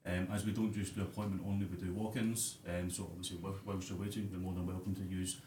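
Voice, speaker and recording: Fast-talking Glaswegian man, low voice. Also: level tone, warm tone, reverberant, slight background noise. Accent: Glaswegian Scottish. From Glasgow, Scotland. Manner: chatty, repeats phrases, many fillers. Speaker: barber, radio guest. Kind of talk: casual radio interview.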